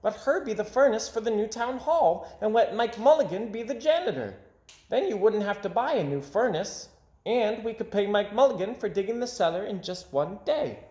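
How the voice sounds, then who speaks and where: An earnest little storybook boy, acted out by a man, speaks in a raised, high voice. He reasons things out eagerly and cleverly, with a roomy echo around him. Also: expressive, normal speed, warm tone. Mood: hopeful, eager.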